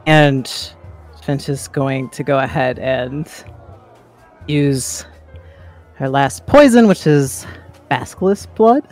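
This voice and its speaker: A man playing a tabletop roleplaying game, improvising third-person storytelling in halting, deliberate phrases. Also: mid-range voice, darkish tone, soft background music.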